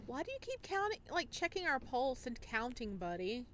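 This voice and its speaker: A woman's voice: high-pitched, sing-song, normal speed, a bit bright, slight background noise. A woman narrating a visual novel playthrough as a gaming creator, with playful commentary.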